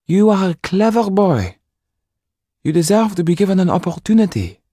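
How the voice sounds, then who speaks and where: A man voicing a stern but caring middle-aged woman guardian, firm and at times hushed, with a husky voice. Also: sits high, sing-song, normal speed, no room sound, clean studio sound, voice pushed up, full character voice. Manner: firm, then hushed and gentle.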